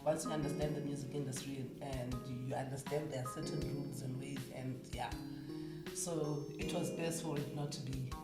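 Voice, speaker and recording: A woman's voice: extremely low pitch, fairly even intonation, fairly quick. A middle-aged woman from Cape Town with a South African accent, chatting as an interview guest and slipping into Xhosa phrases. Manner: mixes in Xhosa phrases, laughs often. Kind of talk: casual interview chat. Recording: echoey room with loud music bed.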